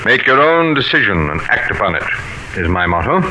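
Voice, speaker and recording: Low male voice, controlling suspicious husband, American, formal and clipped, old radio play, clear background noise. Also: sing-song, a little fast. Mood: formal, probing. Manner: curt, commanding, formal. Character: cold, controlling businessman, a jealous suspicious husband. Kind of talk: old-time radio drama acting. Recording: noisy old radio drama recording.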